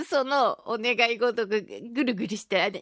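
High-voiced woman hosting chatty radio talk. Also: moderate pace, no room sound, studio quality. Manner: animated storytelling with sound-effect words and playful polite phrasing. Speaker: radio show host. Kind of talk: casual solo radio talk.

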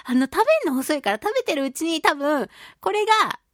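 A woman hosting a radio show, chatting casually and reading listener mail in a playful, expressive way. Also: high voice, a little fast, no echo, clean studio sound. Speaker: radio show host, voice actress.

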